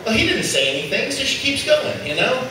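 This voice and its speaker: A man doing a stand-up comedy set in a loose style, pausing with "uh" between jokes. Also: extremely high pitch, big pitch swings, distant mic. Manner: loose joke-telling with frequent "uh" pauses. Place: comedy club stage. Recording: echoey noisy club room from afar.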